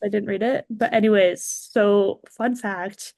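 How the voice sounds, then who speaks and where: A woman with a medium-pitched voice chats casually as a podcast guest. She is expressive and talkative, and her voice is recorded dry, without audible room sound. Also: unhurried, no echo.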